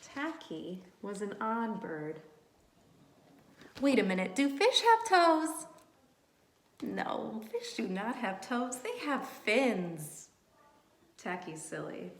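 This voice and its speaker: Slow, higher-voiced woman reading to children. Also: slight background noise. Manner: animated, asks children questions and pauses for answers; simple, encouraging child-directed talk. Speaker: early childhood teacher reading aloud to children. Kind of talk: read-aloud storytime for young children. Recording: roomy, echoey room sound.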